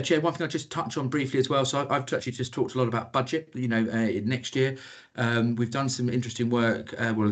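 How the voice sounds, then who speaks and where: A man presenting a council budget update at a committee meeting, with many fillers and restarts. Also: on the low side. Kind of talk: formal meeting report.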